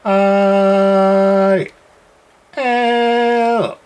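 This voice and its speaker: A man's voice: high-pitched, little pitch movement, drawn-out words, noisy background. A man testing and repairing an old radio, muttering test calls and meter readings in casual workbench commentary.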